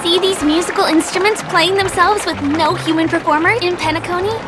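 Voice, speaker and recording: A woman's voice: extremely high pitch, steady tone, brisk. A woman voicing a cheerful, eager young tour guide in a video game, chatty and friendly as she shows someone around. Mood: upbeat. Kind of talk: video game character voice acting. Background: game music.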